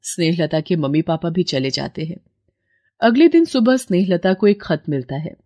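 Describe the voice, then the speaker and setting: A woman narrating a scripted moral story in a steady storytelling manner. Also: on the low side, normal speed, no room sound, clean studio sound.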